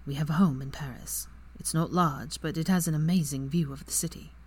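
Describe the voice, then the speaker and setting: Woman reading as a quiet brooding young wizard, low, hushed, husky. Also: fairly quick. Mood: tender. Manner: quiet, hushed, near whisper. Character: soft-spoken young wizard, tender and brooding.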